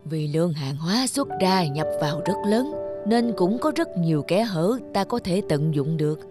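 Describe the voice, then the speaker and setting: A woman voicing a shrewd, calculating male factory director in a cartoon, speaking with measured, insinuating authority. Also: fairly low pitch, expressive, music in the background, natural voice. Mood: sly, measured. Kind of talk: cartoon voice acting.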